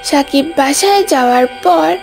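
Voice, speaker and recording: A woman's voice: high voice, expressive, normal speed, vocal fry, a little mellow, faint music behind. A woman narrating a scripted ghost story in a dramatic storytelling manner.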